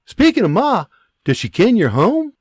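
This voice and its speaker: Man playing young son, cheeky, high raised voice, dry close sound. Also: huge pitch swings, normal speed, slightly rough, dry recording, heavily acted. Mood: joking. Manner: eager, joking.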